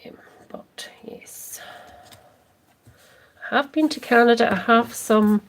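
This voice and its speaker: A Scottish woman talks slowly and gently through a crafting livestream, often dropping to a near-whisper while she works. Her voice has a gravelly, creaky edge. Faint noise and small clicks sit behind her. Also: fairly high pitch. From Scotland. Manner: chatty, reads and answers viewer comments while working; slow, soft, drifting into near-whispers as she concentrates. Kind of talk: casual crafting livestream chat.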